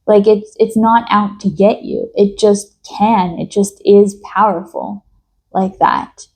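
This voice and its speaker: A young woman from New York speaks to her audience in a soft, reflective monologue. Her voice is mellow and warm, sitting in the middle of the range for a woman. She hesitates often, trailing off and restarting as she thinks. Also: medium pitch, steady tone, slow, husky. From United States. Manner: thoughtful, hesitant, many fillers and restarts. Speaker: vlogger.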